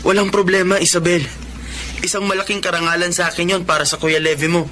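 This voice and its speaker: An actor playing an earnest young Filipino graduate and dutiful son in a radio drama, speaking sincerely. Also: male voice, high-pitched, little pitch movement, fast, sharp tone, slight background noise. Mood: friendly. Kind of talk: Filipino radio drama acting and first-person narration.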